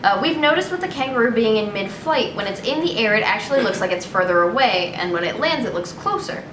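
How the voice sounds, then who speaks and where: A woman's voice: on the high side, animated, fast talker. Young woman in an echoey, noisy room. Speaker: host reading news headlines. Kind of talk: casual comedic chat and reading articles aloud. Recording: roomy echo with clear background noise.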